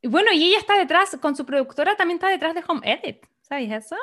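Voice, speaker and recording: Young woman, Chilean accent, high voice, chatty co-host, casual talk full of fillers. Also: lively intonation, fast talker, a bit bright. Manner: chatty, reads lists aloud, many fillers. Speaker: podcast co-host. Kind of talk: casual chat.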